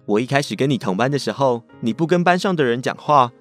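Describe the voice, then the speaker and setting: A man's voice: medium pitch, expressive, normal speed, a bit bright, soft background music, natural voice. A man playing a cheerful, persistent teenage schoolboy with a crush in a radio drama, speaking with a Taiwanese accent in a playful, earnest way. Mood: tender, earnest. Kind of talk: Mandarin boys' love radio drama acting.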